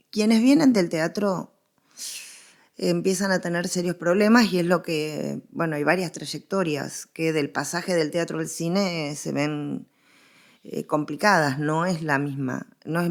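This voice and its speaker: A woman with an Argentine accent talks through history as an interviewed expert. Her voice sits a little low and carries a clear creak. She explains with animation, circling back on phrases to stress them. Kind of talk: conversational scholarly interview.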